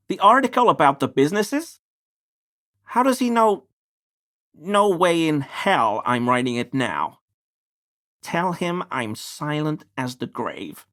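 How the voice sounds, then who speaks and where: A man's voice: mid-range voice, a bit bright. A male voice actor playing a nervous, ingratiating pie deliveryman with bigoted suspicions in a video game, talking in an obsequious, evasive way. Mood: anxious. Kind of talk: scripted game dialogue.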